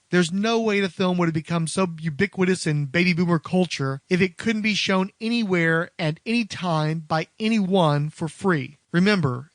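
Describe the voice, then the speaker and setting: A man's voice: high-pitched, fairly bright tone, no room sound. A middle-aged man hosting a podcast, reading a scripted film review in wordy, literate phrasing. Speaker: podcast host.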